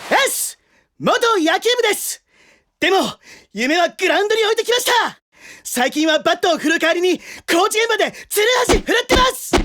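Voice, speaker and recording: Hearty teenage boy athlete, extremely high, very animated, earnest, audio drama. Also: a little fast. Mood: hearty, eager. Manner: hearty, declaiming like a team captain. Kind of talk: Japanese audio drama voice acting.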